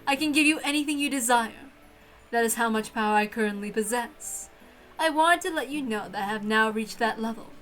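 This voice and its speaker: A woman's voice: on the high side, brisk, fairly bright tone, natural voice. A woman voicing a proud, gracious witch hostess in a visual novel reading, speaking in formal, stately phrases over music. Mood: warm, proud. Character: elegant, gracious witch hostess, grandly proud yet innocently friendly.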